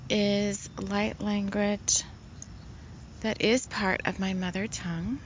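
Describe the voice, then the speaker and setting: Slow-talking female spiritual healer, soft and meandering, medium pitch. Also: flat delivery, muffled recording, a little hiss or hum. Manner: rambling, soft-spoken, many fillers. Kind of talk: casual spiritual talk.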